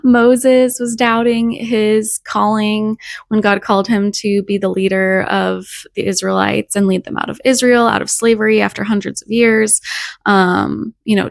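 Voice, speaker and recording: A young woman with a scratchy, hoarse voice from a cold, talking earnestly and reflectively about faith in a casual vlog. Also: medium pitch, no room sound, clean studio sound. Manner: earnest, reflective, with soft laughs. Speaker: faith vlogger. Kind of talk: casual devotional talk to camera.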